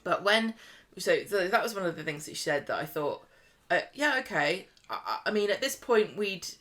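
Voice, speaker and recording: A woman's voice: fairly low pitch, expressive, normal speed, a bit bright. A woman co-hosting a casual podcast chat, talking in a chatty way with lots of restarts. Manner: chatty, laughs easily, many restarts. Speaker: podcast co-host.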